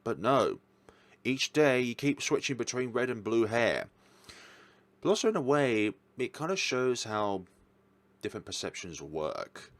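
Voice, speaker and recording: A man's voice: medium pitch, sing-song, measured pace, no echo. A man hosting a casual, chatty ranking of games, rambling and correcting himself as he goes, with an enthusiastic, hesitant manner. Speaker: host reviewing visual novels.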